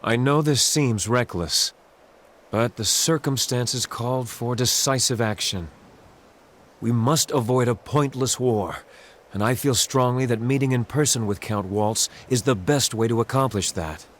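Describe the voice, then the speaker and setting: An actor voicing a calm, resolute young prince in a video game, formal and measured in his speech. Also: medium pitch, slight background noise, lightly acted. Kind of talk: video game dub acting.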